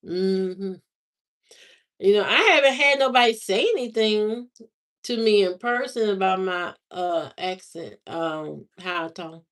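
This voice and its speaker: A woman hosting a livestream, chatting casually with viewers in a slow, drawling, musing way. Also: mid-range voice, lively intonation, a bit bright. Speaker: livestream host. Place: lives in Indiana, not from there.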